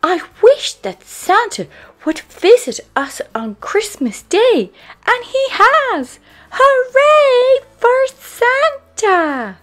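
A woman voicing an earnest, excitable little girl pig with a childlike manner in a storybook. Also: slow, breathy edge, exaggerated voice. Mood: overjoyed. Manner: high, childlike, exclamatory.

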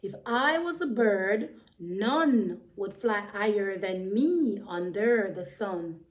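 A woman's voice: mid-range voice, expressive, voice pushed down, poor audio. A woman voicing a wistful young rat in a children's storybook, dreamy and rhyming. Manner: dreamy, slow, rhyming delivery.

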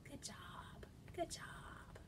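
A woman's voice: extremely slow, in a whisper. A woman in her thirties speaking to young children as a storytime host, in an encouraging, child-directed way, recorded indoors on a camera mic. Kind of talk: singing songs and reading aloud to young children. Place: indoor room by large windows.

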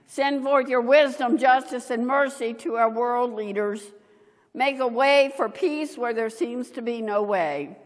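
An older woman, a pastor, reading prayers and liturgy in a solemn, measured manner, phrase by phrase, at a church lectern. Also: on the high side. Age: older, 70s. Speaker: pastor leading worship. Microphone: gooseneck lectern microphone and clip-on. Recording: reverberant church hall.

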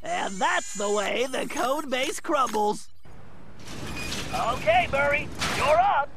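An actor playing a brainy, fussy young turtle hacker with a nasal voice in an animated video game. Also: male voice, very high-pitched, slow, a little hiss or hum, heavily acted. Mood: fussy, smug. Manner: fussy, precise, self-satisfied. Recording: game music behind the voice.

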